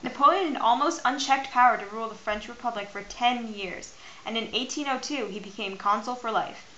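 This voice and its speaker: A woman's voice: a little high, fairly quick, pushed and bright. A teenage female student reading scripted history narration. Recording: dull, faintly noisy amateur recording.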